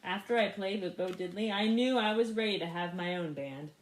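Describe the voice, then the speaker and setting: A woman reading a picture book aloud in an expressive, animated way for young listeners. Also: mid-range voice, reverberant, rough recording. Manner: animated, pauses to ask young listeners questions. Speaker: storybook reader. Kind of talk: expressive children's read-aloud.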